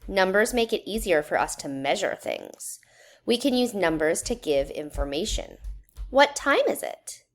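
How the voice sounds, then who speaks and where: A woman reading a children's educational book aloud in a measured, instructional way, putting questions to young listeners. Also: medium pitch, sing-song. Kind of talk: children's read-aloud narration.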